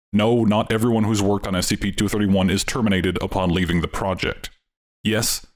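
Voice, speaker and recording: A man reading a formal letter aloud in a measured, grave manner. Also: sits low.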